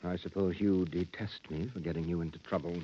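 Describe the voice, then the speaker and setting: A man's voice: low voice, steady tone, fairly quick, lo-fi sound, a little hiss or hum, lightly acted. An actor playing an impassioned mutant prisoner who pleads for his rights in earnest, formal 1950s radio drama style. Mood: tender, insistent. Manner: earnest, rhetorical, formal phrasing.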